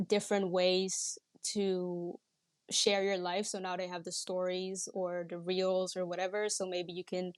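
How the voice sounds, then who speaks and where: A woman's voice: on the low side, no room sound. A young woman from the Netherlands, chatting casually and reflectively as a podcast guest. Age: young adult. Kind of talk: casual reflective interview chat.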